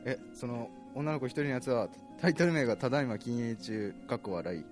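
A young man talks very quickly in an ordinary mid-range voice. He sounds like he is co-hosting a casual show among friends, with faint music underneath. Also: mid-range voice, animated. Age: young adult. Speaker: co-host of an amateur talk show.

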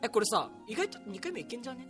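A man's voice: very high voice, big pitch swings, racing, fairly bright tone, soft background music. A man chatting casually as the host running a radio drama segment. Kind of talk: casual chat.

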